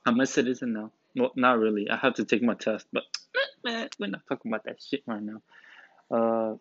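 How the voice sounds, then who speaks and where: A man's voice: mid-range voice, lively intonation, a little fast, no room sound. A young man in his late teens from Romania, hosting a student podcast from the back room of a school in a rambling, joking, laid-back way. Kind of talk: casual rambling podcast monologue. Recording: dull, dry lo-fi recording.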